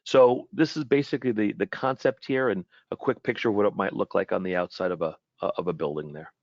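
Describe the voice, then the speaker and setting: A man giving an informal webinar product presentation in a halting way, often repeating and rephrasing his words. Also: fairly low pitch, fairly even intonation, no room sound, highs cut off.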